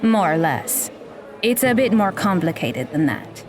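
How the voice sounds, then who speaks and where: An actress playing a blunt, aloof young magical mentor who talks in a dry, deadpan way in an audio drama. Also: female voice, on the low side, a little slow. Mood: instructive. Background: background music.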